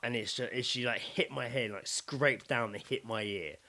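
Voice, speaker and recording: Bright-voiced British man telling a sweary anecdote. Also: medium pitch, normal speed. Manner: sweary, excitable, acts out his own reactions. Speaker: podcast co-host. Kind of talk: casual chat, animated anecdote telling.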